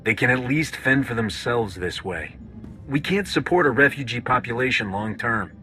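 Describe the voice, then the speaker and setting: Game alliance commander, forceful bright voice, mid pitch, decisive, wry, pragmatic. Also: male voice, expressive, normal speed, soft background music. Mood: firm. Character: confident, pragmatic male rebel alliance commander.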